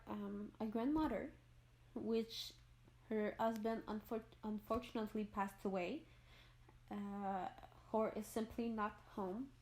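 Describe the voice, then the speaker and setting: Chatty French Canadian woman, somewhat high voice. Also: a little mellow. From Montreal, Quebec. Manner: rambling, searches for words, laughs often. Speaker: gaming YouTuber. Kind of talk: voice-over for a game build video.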